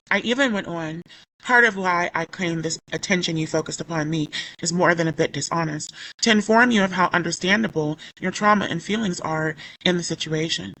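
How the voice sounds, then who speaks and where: Bright-voiced woman recovering her voice. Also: on the low side, poor audio. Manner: indignant, rambling with self-corrections. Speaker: YouTuber. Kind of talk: commentary vlog reading comments aloud.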